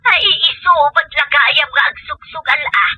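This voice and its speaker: A woman acts out an aggrieved mother in an Ilocano audio drama. Her voice is high-pitched and extremely sharp. She complains emphatically, full of worry and indignation. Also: normal speed, dry recording. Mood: aggrieved, indignant. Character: worried, aggrieved mother tattling on her gambling husband and son-in-law. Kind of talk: Ilocano comedy drama acting.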